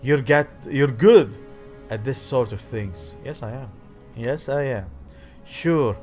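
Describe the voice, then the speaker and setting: A man's voice: medium pitch, lively intonation, slow, darkish tone. A man hosting a gaming video reads visual novel text aloud with theatrical emphasis, stumbling now and then and dropping in offhand comments. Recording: music behind the voice. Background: game music.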